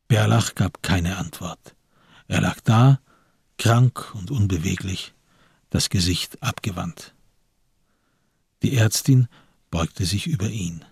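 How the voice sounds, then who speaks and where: A man narrating a scripted radio drama in a raspy voice. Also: low voice, slow, long pauses, a little mellow, dry recording, studio quality.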